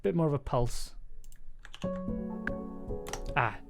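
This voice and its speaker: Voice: mid-range voice, slow, gravelly. An enthusiastic, playful music producer chatting casually on a livestream, rambling a little and thinking aloud while working. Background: synth music and keyboard clicks.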